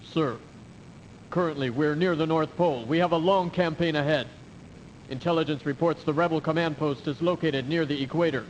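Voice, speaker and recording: An actor playing a loyal, deferential military aide, giving formal reports in a video game cutscene. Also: male voice, a little high, moderate pace, dull audio, natural voice. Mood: formal, concerned. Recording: faintly noisy 1990s game audio.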